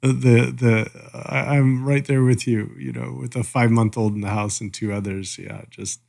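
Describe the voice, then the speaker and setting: A man co-hosting a casual review podcast, thinking aloud in a halting, musing way. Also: mid-range voice, steady tone, creaky, darkish tone. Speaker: podcast co-host.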